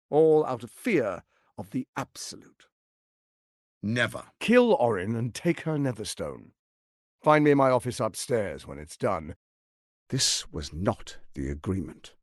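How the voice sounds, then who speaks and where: An actor playing a scheming, arrogant middle-aged tyrant lord in a video game, with a pompous, silky, menacing delivery. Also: male voice, mid-range voice, fairly bright tone, dry recording, studio quality. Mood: smug, silky, then cold, threatening, then urgent, commanding. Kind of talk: theatrical video game voice acting.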